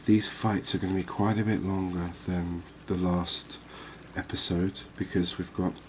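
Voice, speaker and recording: A man doing soft-spoken ASMR game commentary in an unhurried, calming, reassuring manner. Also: deep voice, slight background noise. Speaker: host of a cozy gaming channel.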